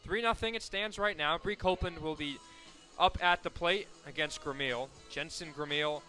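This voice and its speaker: A young man calling softball play-by-play for college radio, correcting himself often and surging loud on big plays. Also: on the high side, moderate pace, faint music behind. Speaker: play-by-play announcer.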